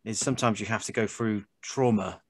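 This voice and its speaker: Low-voiced male podcast host, dry sound. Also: fairly even intonation, fairly quick, dry recording. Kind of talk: conversational interview.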